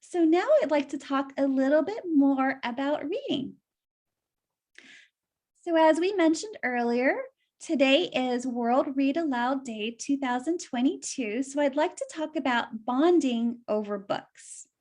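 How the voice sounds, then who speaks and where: A woman's voice: high-pitched, a little slow, a little mellow. A woman reading a children's story aloud and explaining it simply, as if to young listeners.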